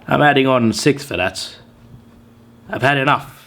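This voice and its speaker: A hoarse man who is under the weather, hosting a channel and tallying wrong viewer tips with mock exasperation. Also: medium pitch, measured pace, fairly bright tone, a little hiss or hum. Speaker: channel host. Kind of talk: casual commentary reading out viewer tips.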